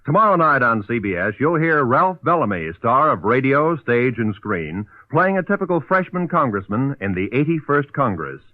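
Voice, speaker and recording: A man's voice: mid-range voice, sing-song, normal speed, hardly any pauses, no echo. An American man announcing on 1940s radio, reading a script in an emphatic, formal manner. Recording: tinny, dry vintage radio recording.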